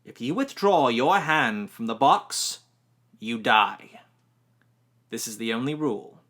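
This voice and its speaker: A man voicing an ancient, stern woman who tests a boy with slow, commanding menace. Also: medium pitch, sing-song, fairly bright tone, exaggerated voice.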